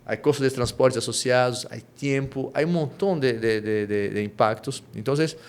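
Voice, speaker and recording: A middle-aged man from Brazil with a Lima Spanish accent and Portuguese roots, explaining his company's work as an interview guest, often tagging his points with a quick question and restarting phrases. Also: mid-range voice, clean tone. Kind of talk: conversational interview.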